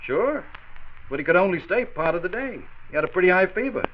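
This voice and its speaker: An actor playing a loyal, deferential plant supervisor in a 1940s radio drama, earnest and apologetic. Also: male voice, high voice, big pitch swings, fairly quick. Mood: earnest, explaining. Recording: muffled 1940s radio transcription.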